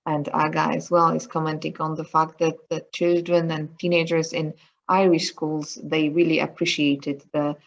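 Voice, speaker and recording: A woman's voice: low voice, flat delivery, normal speed, vocal fry, muffled recording. A woman moderating an online conference Q&A over a video call, speaking hesitantly with many fillers.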